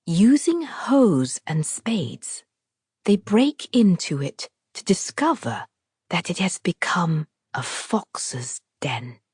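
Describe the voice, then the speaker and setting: Female audiobook storyteller, deliberate and measured, lowish husky voice, recorded very dry. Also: a little mellow, no echo. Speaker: author narrating her own audiobook. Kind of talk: scripted literary narration, storytelling.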